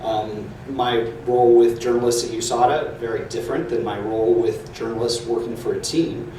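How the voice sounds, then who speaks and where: A man speaking on a conference panel in a measured, earnest way, with frequent fillers. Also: medium pitch, fairly even intonation, warm tone, a little hiss or hum. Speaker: panelist, public relations professor. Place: large echoey conference hall. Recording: echoey hall audio from far off.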